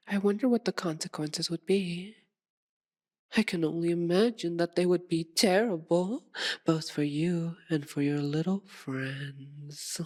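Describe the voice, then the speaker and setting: A woman playing a possessive, sadistic female vampire in a theatrical ASMR roleplay, teasing and seductive, with a purring drawl that slips into breathy whispers. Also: lowish voice, a little mellow. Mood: taunting, menacing.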